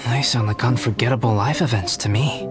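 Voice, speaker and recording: A man playing a calm, methodical young investigator in a video game, speaking formally and deliberately. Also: medium pitch, close to an everyday voice. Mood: dryly amused. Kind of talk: video game cutscene acting. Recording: loud music behind the voice.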